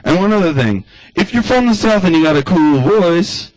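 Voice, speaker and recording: A man's voice: high voice, lively intonation, normal speed, dry recording, rough recording. A man doing a rambling stand-up comedy monologue in the style of a radio address, loose and chatty, full of asides.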